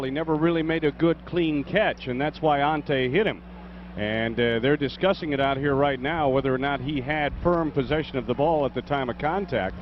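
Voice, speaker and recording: Higher-voiced man reporting from a football sideline. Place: outdoor stadium sideline. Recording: dull, noisy sideline broadcast feed.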